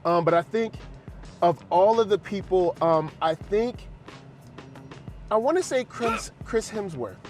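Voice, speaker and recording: A man hosts an off-the-cuff movie chat. He is playful and excitable, repeating himself to make a point, with faint music underneath. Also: high-pitched, a little slow, pauses often. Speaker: film reviewer and channel host. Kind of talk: casual, unscripted movie reaction chat.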